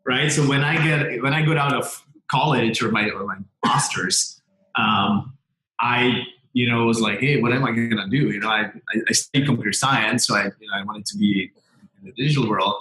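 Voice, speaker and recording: A man's voice: mid-range voice, normal speed, bright, forceful tone. Czech-accented man around forty, roomy sound. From Czech Republic. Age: about forty. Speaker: interview guest, tech entrepreneur. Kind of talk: conversational interview.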